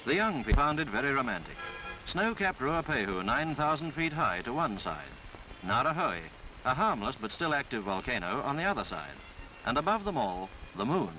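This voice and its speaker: Lively-pitched man narrating a 1950s newsreel. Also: rough recording. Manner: formal, florid, measured delivery. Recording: 1950s film soundtrack with background music. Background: music.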